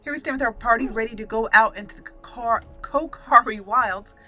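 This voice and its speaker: A woman's voice: fairly high pitch, fairly quick, pushed and bright, faint music behind. A woman hosting a casual game walkthrough, thinking aloud in short fragments as she plays. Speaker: gaming channel host.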